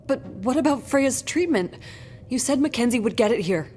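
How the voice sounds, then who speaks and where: A woman's voice: fairly high pitch, animated, normal speed, darkish tone, a little hiss or hum, lightly acted. An actress playing a concerned, soothing townswoman looking after a friend in a video game drama.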